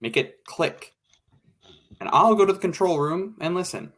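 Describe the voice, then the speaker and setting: A man's voice: on the high side, animated, normal speed, fairly bright tone. A man playing a bossy, impatient radio show producer in a 1940s-style radio play. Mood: instructing. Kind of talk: old-time 1940s radio play acting.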